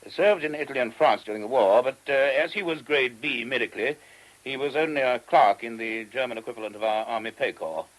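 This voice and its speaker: An actor playing a courteous, earnest monastery abbot with a British accent and a hesitant, genteel manner in a radio play. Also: male voice, mid-range voice, a little fast, natural voice, rough recording. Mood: earnest, explaining. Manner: hesitant, genteel, old-fashioned English turns of phrase. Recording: old radio drama recording.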